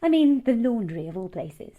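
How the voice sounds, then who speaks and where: An actress playing a young, insinuating kitchen maid with a hidden purpose in an audio horror drama, softly teasing and probing. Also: female voice, fairly high pitch, very animated, normal speed, phone-quality sound. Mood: sly, accusing. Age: young adult.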